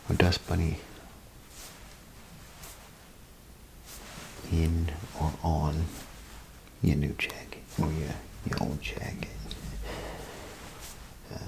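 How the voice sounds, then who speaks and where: A man playing a chatty, folksy bathroom attendant in a soft ASMR role play, speaking in a gravelly, breathy half-whisper with rambling, hesitant phrasing. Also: low-pitched, full character voice, low-quality recording. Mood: friendly, fussing. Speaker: ASMR performer. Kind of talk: ASMR role play, softly spoken to the listener. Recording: close ASMR recording, clear background noise.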